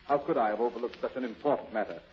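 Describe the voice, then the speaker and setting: An actor playing a brusque, easily flustered bank president with a soft heart, formal and blustery in 1930s radio style. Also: male voice, medium pitch, racing, slightly rough. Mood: genial, flustered. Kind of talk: 1930s radio drama acting. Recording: dull, lo-fi old radio recording.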